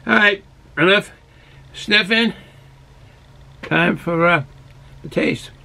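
A man's voice: high-pitched, takes it slowly, vocal fry, pushed and bright. A man in his sixties from Boston with a thick Boston accent, chatting casually and rambling a little as he reviews for the camera. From Boston, United States. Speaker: beer reviewer. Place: small cluttered indoor hobby room.

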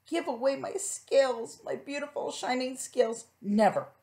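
A woman's voice: high voice, moderate pace, a little mellow, pitched above the performer's own voice. A woman voicing a proud, self-important male fish in a children's storybook, with dramatic delivery. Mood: dismayed. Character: proud, vain male fish with shining scales. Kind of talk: children's storybook read-aloud.

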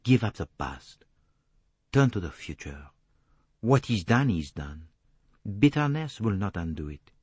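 A man voicing a gentle older male detective, measured and formal, in an audiobook novel. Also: on the low side, sing-song, husky, dry recording, heavily acted. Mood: gentle, grave.

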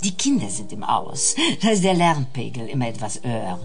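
Deep-voiced, animated minister's wife. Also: female voice, moderate pace, heavily acted. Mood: polite, pointed. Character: composed, pointed wife of a minister, mother. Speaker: actor in a radio play. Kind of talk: German radio drama dialogue.

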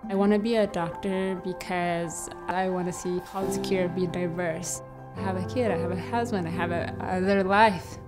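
A soft-spoken young woman from Ethiopia tells her story in an earnest, halting way, with a fair amount of pauses and fillers. Her voice sits a little low, and clear music plays under her throughout. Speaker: scholarship recipient. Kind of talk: documentary interview voice-over. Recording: music playing behind.

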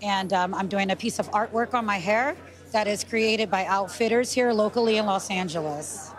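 A woman guest at a red carpet interview, talking into a handheld mic in a measured way and correcting herself as she goes. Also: mid-range voice. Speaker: guest, wife of a musician. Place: outdoor red carpet at an awards show. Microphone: handheld mic held by interviewer. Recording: busy crowd noise behind.

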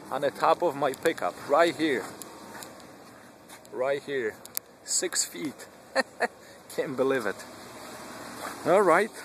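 A man's voice: fairly high pitch, a bit bright. A man operating amateur radio from a pickup truck, repeating his phrases, recorded on a handheld device. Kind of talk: radio callsigns and excited handheld vlog commentary. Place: inside a pickup truck at night. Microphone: handheld camera or phone. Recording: noisy vehicle cabin with radio static.

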